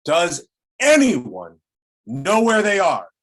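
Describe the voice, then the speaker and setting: Man playing an imperious old villain, swooping pitch. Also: wildly swooping pitch, takes it slowly, a bit bright, heavily acted. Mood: exasperated. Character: imperious old villain commanding his dog minions. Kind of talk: amateur table read of an animated film script.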